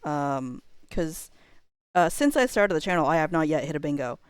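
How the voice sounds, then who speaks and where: A woman's voice: low voice, lively intonation, normal speed, smooth, no echo. A woman livestreamer chatting casually during a game, rambling and thinking aloud as she gets sidetracked. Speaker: live streamer. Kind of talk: casual livestream chat while playing a game.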